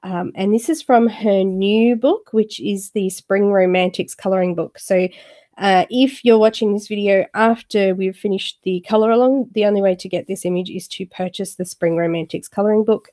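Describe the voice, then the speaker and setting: A woman's voice: medium pitch, fairly even intonation, normal speed, mellow. A woman hosting a live coloring stream, chatting with viewers and talking a little nasally from a cold. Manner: chatty, friendly, lots of fillers. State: has a cold, slightly nasal. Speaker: YouTube coloring channel host.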